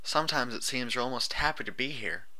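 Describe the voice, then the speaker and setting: Male reader as young mare for storybook: folksy, weary, blunt, raised pitch, sharp bright edge. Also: brisk. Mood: weary, despairing. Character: weary, despairing young adult female pony with folksy bluntness.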